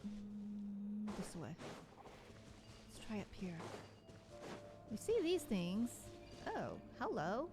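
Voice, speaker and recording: A woman's voice: medium pitch, big pitch swings, very slow, pauses often. A woman streamer giving casual commentary as she plays, chatty and muttering to herself while she works through puzzles. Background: game music.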